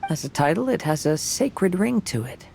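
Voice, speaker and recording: Deep-voiced, thoughtful game woman. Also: big pitch swings, moderate pace, creaky, darkish tone, slight background noise, exaggerated voice. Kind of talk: video game character dialogue. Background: music.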